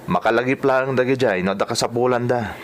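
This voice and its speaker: Lalaki nga agak-akting naannad, mangliwliwa a lalaki. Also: male voice, medium pitch, brisk, a little hiss or hum, close to an everyday voice. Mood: concerned, soothing. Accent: Ilocano. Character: calm, concerned man reassuring a worried woman. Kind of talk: Ilocano radio drama acting.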